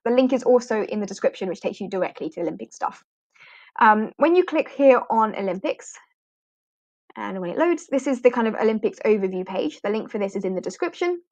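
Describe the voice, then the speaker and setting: A woman's voice: a little high, no echo. A British woman from the UK, an online English teacher, rambling chattily through a live walkthrough, with fillers and light laughs. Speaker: online ESL teacher hosting a livestream.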